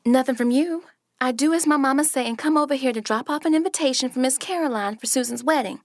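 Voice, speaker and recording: Woman acting a sharp-tongued young woman. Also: high-pitched, fast, no room sound, clean studio sound. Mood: indignant, sparring. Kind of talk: stage-play dialogue for radio.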